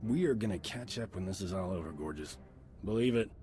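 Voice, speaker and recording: A deep, mellow male flirt. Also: expressive, fairly quick. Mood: flirty. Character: flirtatious, self-assured male love interest. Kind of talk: video game cutscene voice acting. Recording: music behind the voice.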